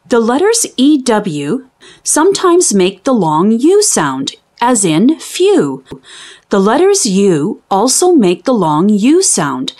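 Female children's storybook reader, lively intonation, unhurried and clearly enunciated, teacherly. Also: fairly high pitch. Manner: deliberate, enunciated teaching delivery. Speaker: children's read-aloud host. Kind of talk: expressive storybook reading for young children.

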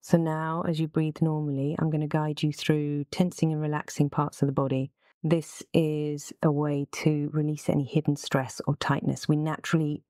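Low, mellow woman guiding relaxation. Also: no echo, studio quality. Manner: gentle, soothing, unhurried instruction. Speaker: guided relaxation instructor. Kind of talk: guided relaxation meditation.

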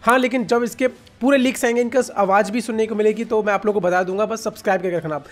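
A man's voice: high-pitched, steady tone, fast talker. A man with an Indian accent giving casual, informal reaction commentary as a gaming video host, chatting to viewers like friends.

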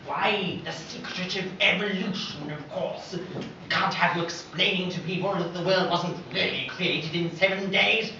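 A pompous, scheming archbishop, acted on an amateur stage, declaiming angrily in a gravelly voice. The sound is distant and very echoey. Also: mid-range voice, unhurried, muffled recording, natural voice. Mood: scolding. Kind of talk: amateur pantomime stage acting. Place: stage in a large theatre hall. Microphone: far camera. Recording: distant camera in echoey, noisy hall.

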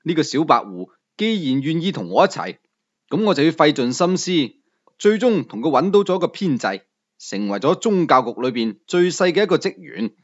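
A man's voice: on the high side, sing-song, moderate pace, dull audio. A man with a Cantonese accent narrating a scripted first-person novel as an audiobook storyteller.

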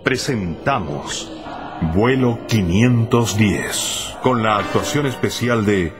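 A man's voice: fairly low pitch, animated, takes it slowly, music in the background. A man working as a radio announcer, reading scripted programme credits.

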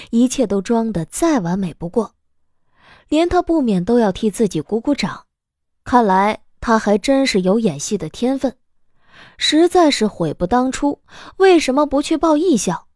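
A woman's voice: on the high side, darkish tone, no echo, clean studio sound. A woman narrating a scripted story as the narrator of an audio drama.

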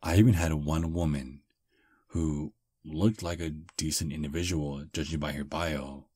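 Male VA playing a charming old vampire on a first date: very low, unhurried, soft and slightly halting, close dry ASMR mic. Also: fairly even intonation, slightly rough, dry recording, exaggerated voice. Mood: easygoing. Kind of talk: ASMR roleplay.

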